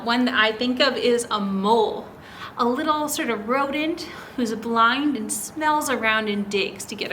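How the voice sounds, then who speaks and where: A woman's voice: high-pitched, bright, forceful tone, slight background noise. A woman hosting a story time for young children, reading aloud in a teacherly way and asking them lots of direct questions. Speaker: children's story time host at a public library.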